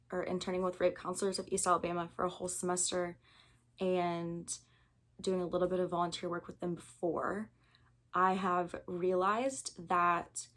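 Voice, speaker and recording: A woman in her early twenties with an American accent, talking candidly and earnestly in an informal, conversational way, as in a personal video. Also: fairly low pitch. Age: early 20s.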